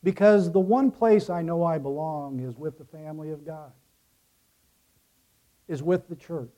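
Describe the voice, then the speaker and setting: A man raised in Ecuador by American parents preaches as a pastor, speaking deliberately in emphatic phrases that trail off softly. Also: a little high, low-quality recording. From Ecuador, raised by American missionary parents. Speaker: pastor preaching to his congregation. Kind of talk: sermon.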